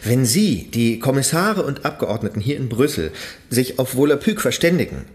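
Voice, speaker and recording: A man's voice: mid-range voice, sing-song, moderate pace, darkish tone, lightly acted. An actor in a radio play playing an earnest, zealous idealist who argues insistently and persuasively for his cause. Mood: fervent. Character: earnest, zealous idealist campaigning for a world language.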